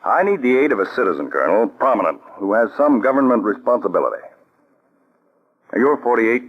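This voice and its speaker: A man's voice: medium pitch, big pitch swings, fairly quick. An actor playing a firm, authoritative male spy chief in a 1940s radio drama. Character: commanding male chief of counterspies. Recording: dull old radio broadcast.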